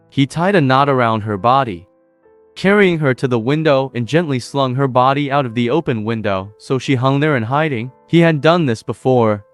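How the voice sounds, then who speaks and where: A man reading scripted narration for an animated story in a steady, even storytelling manner. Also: medium pitch, no echo. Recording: dry voice-over with faint music.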